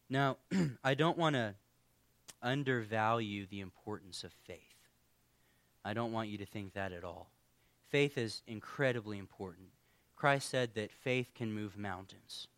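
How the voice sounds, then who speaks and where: Man, pastor, earnest conversational sermon, voice worn from allergies, smooth resonant tone, dry close sound. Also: medium pitch, a bit bright, no room sound. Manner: earnest, conversational preaching with pauses and restarts. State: losing his voice from allergies.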